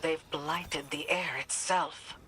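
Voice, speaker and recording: A stern warrior woman in a fantasy video game. Her voice sits fairly low and she speaks firmly and seriously, guarded and defiant, with clear game noise behind her. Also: steady tone, slow pace. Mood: grave, urgent.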